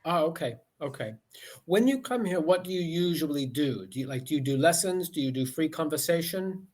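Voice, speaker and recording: A man's voice: on the high side, expressive, brisk, darkish tone. A man from the United States, talking as an online English tutor on a video call, patient and often repeating "okay" as he rephrases his questions. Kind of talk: casual conversation lesson over video call.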